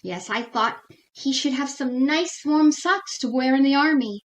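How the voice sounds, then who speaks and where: A woman's voice: high-pitched, measured pace. A woman playing a scatterbrained, easily flustered housewife in an old-time radio sitcom, with a fluttery comic delivery. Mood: cheerful, earnest.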